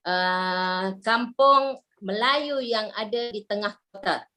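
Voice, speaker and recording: Slow-spoken Malaysian woman in her sixties. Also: medium pitch. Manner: slow, halting, many fillers. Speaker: member introducing herself. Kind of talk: online group video call. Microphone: video call.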